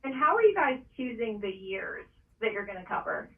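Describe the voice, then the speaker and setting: A woman's voice: a little high, sing-song, normal speed, recorded from a distance, narrow, tinny audio. A woman interviewer asking questions in a casual conversational style.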